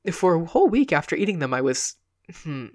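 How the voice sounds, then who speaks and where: A woman's voice: low voice, sing-song, a little fast, a little mellow. A woman voicing a flustered, stammering male comic actor in a storybook reading. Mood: soothing. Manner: stammering, exasperated. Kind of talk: dramatic audiobook reading.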